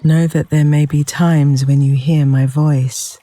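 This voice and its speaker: A man leading a guided yoga nidra meditation in a gentle, soothing, unhurried manner with long pauses between phrases. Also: a little high, little pitch movement, dry recording. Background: flowing river water sounds.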